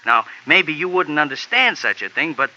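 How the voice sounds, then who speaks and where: An actor playing a small, excitable male jockey in a 1950s radio drama, talking fast, wordy and emphatic. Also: fairly high pitch, a little hiss or hum, full character voice. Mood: earnest. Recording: lo-fi old radio broadcast.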